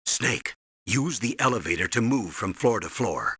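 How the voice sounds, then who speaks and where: Older American military commander for a game: authoritative, clipped orders, gravel-edged, dry close sound. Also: male voice, fairly low pitch, big pitch swings, normal speed, bright, forceful tone, no room sound, full character voice. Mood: instructive. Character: stern older military colonel giving mission orders by radio. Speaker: mission commander and briefer. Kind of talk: scripted video game radio briefing.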